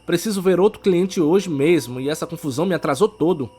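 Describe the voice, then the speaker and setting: A man voicing a polite, businesslike male lawyer with a courteous, brisk manner in an audiobook, music behind. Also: on the high side, warm tone, breathy edge, voice pushed up. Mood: apologetic. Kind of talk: audiobook character acting.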